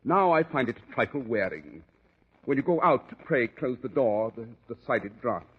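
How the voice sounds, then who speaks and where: An actor playing a shrewd, unruffled gentleman detective, precise and dryly witty, in 1940s radio drama style. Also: medium pitch, expressive, moderate pace, breathy edge, narrow, tinny audio. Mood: unruffled, mocking. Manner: urbane, precise, dryly ironic. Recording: thin, band-limited 1940s radio recording.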